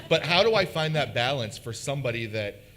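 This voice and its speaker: A man's voice: medium pitch, expressive, fairly quick, a bit bright, slight background noise. A man in the audience asking a question in an intense, self-deprecating way.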